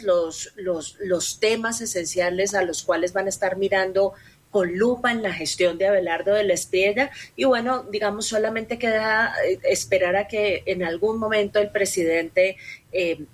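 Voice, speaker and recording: Brief: Colombian woman analyst, lowish husky voice, careful and explanatory. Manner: measured, explanatory, with hesitations. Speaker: political scientist guest. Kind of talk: analytical interview answers. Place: remote call from Bogotá.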